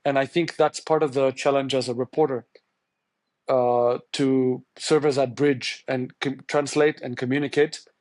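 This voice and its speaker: A man's voice: mid-range voice, level tone, a little slow, a little mellow, dry recording. Thoughtful male journalist, dry close sound. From India. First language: Tamil. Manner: thoughtful, searching, many restarts and fillers. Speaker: journalist guest. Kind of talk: podcast interview answer.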